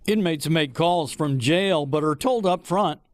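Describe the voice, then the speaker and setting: Higher-pitched American man reporting the news. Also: unhurried, no room sound, clean studio sound. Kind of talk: scripted news narration.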